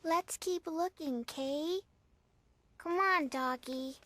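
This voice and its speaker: A woman's voice: high voice, slow, sharp tone, dry recording, heavily acted. A woman voicing a plain-spoken, childlike little girl in a video game, with a cute way of talking. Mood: determined. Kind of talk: English video game dub acting.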